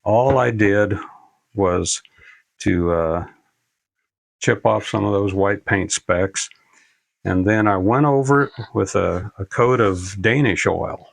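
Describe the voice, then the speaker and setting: Older man, very low pitch, dry close sound, folksy repair tutorial presenter, halting unscripted explanations. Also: vocal fry, no room sound. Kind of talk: casual unscripted how-to demonstration.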